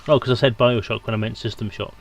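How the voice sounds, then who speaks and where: A man's voice: medium pitch, steady tone, quick pace, muffled recording. A man speaking casually as a guest in a livestream discussion. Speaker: stream guest. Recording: clear background noise on mic.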